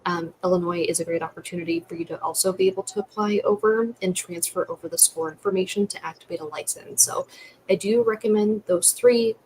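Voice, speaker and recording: Lowish-voiced young woman explaining methodically. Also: brisk, darkish tone, rough recording. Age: young adult. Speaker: licensing manager, panelist. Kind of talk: explanatory answers in a webinar. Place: indoor room with curtains. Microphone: computer microphone on video call. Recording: faint background noise on video call.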